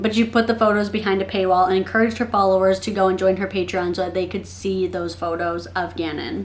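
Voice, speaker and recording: Young woman, nasal, congested, earnest commentary, casual chat, mid pitch. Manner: earnest, frequent "like" fillers. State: getting sick, a little congested. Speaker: YouTube commentator.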